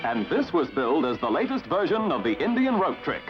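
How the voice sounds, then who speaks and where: A man's voice: fairly high pitch, very animated, fairly quick, muffled recording, over loud music. A man with an Australian accent narrating in scripted 1950s newsreel style, with a theatrical, jaunty announcer delivery. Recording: band-limited vintage 1950s newsreel soundtrack.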